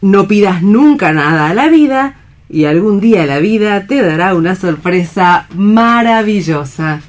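A woman playing a gentle, hopeful woman in a radio play, speaking earnestly and with careful formality. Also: mid-range voice, lively intonation, measured pace, natural voice. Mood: hopeful resolve. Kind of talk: theatrical radio play reading.

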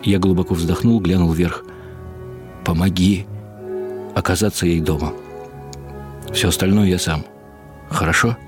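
An older man giving a reflective, expressive and unhurried dramatic reading of literary prose for radio. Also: low voice. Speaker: narrator. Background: music.

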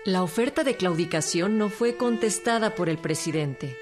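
A woman's voice: on the low side, animated, normal speed. A woman reading scripted historical narration as a documentary narrator. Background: string music.

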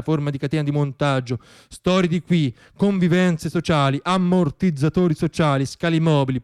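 Guy doing a theatre monologue, kinda high voice, dramatic rhythmic storytelling. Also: darkish tone, smooth. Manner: dramatic, rhythmic delivery of long lists. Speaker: storyteller, stage monologue performer. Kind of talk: theatrical narrative monologue on working life.

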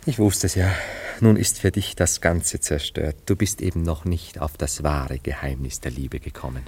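An actor playing a dreamy, self-absorbed young romantic in a German radio play, speaking in a wistful, lyrical, theatrical way with hesitant pauses. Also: male voice, extremely low pitch, a little mellow, slightly rough, heavily acted. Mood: confiding. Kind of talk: German radio play acting, literary dialogue.

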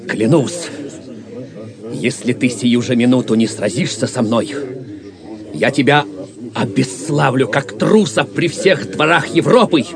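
A proud young knight of the radio play, declaims slowly and solemnly. His challenge is defiant, with a clearly audible crowd behind him. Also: mid-range voice. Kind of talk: audio drama acting.

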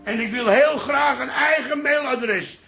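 A man playing a grumpy, absent-minded older father in a radio drama, muttering and evasive, with a gravelly voice. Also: very high voice, measured pace, music in the background, heavily acted. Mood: defensive, irritated.

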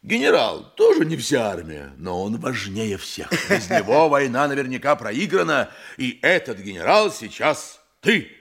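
An officious lawyer in a theatrical radio drama. His mid-pitched voice rises and falls broadly as he lectures and insists, grand and money-minded. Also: wildly swooping pitch, unhurried, creaky, hardly any pauses, a bit bright, breathy edge, heavily acted. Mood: commanding, grandiose. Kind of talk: theatrical radio play acting.

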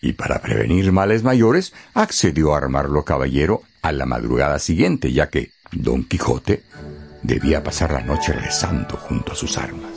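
Narrator for radio drama: man, very low creaky voice, animated theatrical storytelling with pointed pauses. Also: faint music behind.

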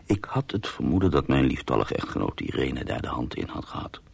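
A man's voice: very deep voice, very animated, a little fast, no room sound, heavily acted. A man playing a scheming, sardonic husband who narrates a radio drama with dry irony and mock affection. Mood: sardonic, confiding. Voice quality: gravelly, husky.

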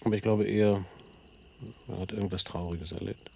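An actor playing a cool, cynical expatriate in a naturalistic radio drama, with a gravelly voice and a laconic, matter-of-fact manner. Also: male voice, on the low side, steady tone, brisk, darkish tone. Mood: casual, thoughtful. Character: cool, cynical male expatriate living in Tunisia. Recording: clear background noise of chirping crickets. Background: crickets, outdoor ambience.

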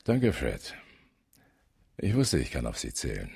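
Very deep-voiced, husky police chief. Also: male voice, wildly swooping pitch, darkish tone, full character voice. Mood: calm authority. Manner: measured, authoritative, blunt. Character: shrewd older male police chief, calculating and commanding. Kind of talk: German radio drama acting.

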